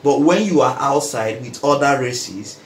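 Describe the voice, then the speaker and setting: A man speaks earnestly at a middling pitch and repeats his points to drive them home. The room is echoey, with a faint hiss underneath. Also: lively intonation, measured pace. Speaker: co-host. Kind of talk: casual conversation. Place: room in England.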